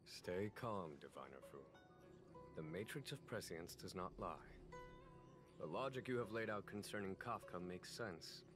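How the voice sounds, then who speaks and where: With music clearly behind him, a calm and shrewd male general from a video game speaks in a lowish voice. He is measured and authoritative, musing over each point without any hurry. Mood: calm, assured. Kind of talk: scripted video game voice acting. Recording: background music under the voice.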